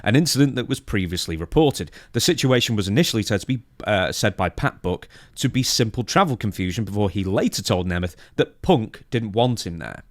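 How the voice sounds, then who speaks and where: A man with a fairly low voice co-hosts a wrestling podcast. He reads through news notes and comments on them conversationally. The sound is dry, with hardly any room in it. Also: lively intonation, a little fast, few pauses, dry recording. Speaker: podcast co-host. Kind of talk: reading out a wrestling news timeline and discussing it.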